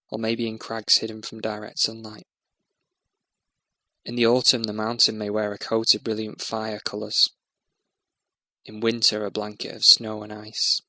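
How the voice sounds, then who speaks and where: Gravelly, even-toned male meditation guide. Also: lowish voice, dry recording. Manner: soothing, measured, instructional.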